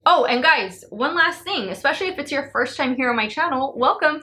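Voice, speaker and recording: Woman, late 30s, beauty vlogger; slightly high, bright voice; friendly, chatty delivery. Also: lively intonation, fairly quick. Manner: chatty and upbeat, talks straight to viewers. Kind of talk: casual product review to camera.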